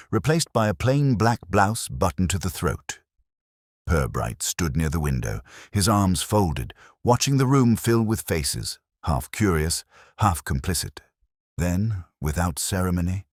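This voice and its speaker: Very deep-voiced male storyteller, dry studio sound. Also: no echo. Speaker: audiobook narrator. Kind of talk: scripted mystery story narration.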